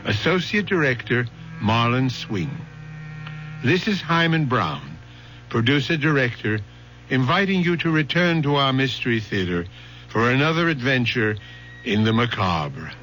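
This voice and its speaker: A man hosting a radio drama, giving scripted narration in a measured, solemn, theatrical 1970s style. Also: mid-range voice, big pitch swings, fairly bright tone, a little hiss or hum, rough recording. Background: music.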